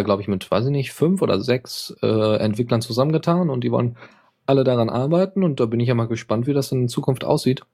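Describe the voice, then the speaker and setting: Very low-voiced man rambling through tech news. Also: darkish tone. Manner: rambling, many fillers and self-corrections. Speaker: podcast host. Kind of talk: casual tech news chat.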